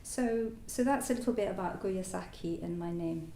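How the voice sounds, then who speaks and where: A woman whose first language is French gives a halting personal talk as a new Buddhist order member, full of fillers and restarts. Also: fairly low pitch, warm tone. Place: meditation centre hall. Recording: echoey hall, distant mic, faint noise.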